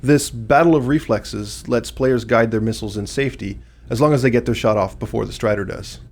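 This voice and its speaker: A man with a medium-pitched voice reads developer commentary. He explains design fixes from a prepared script. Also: fairly quick, creaky, darkish tone. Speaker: game developer giving commentary.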